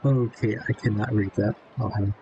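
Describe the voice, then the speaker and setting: A man's voice: on the low side, fairly even intonation, normal speed, lo-fi sound, background noise. Offhand man mumbling game commentary. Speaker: gamer. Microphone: built-in mic. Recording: built-in mic, loud game music. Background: game music.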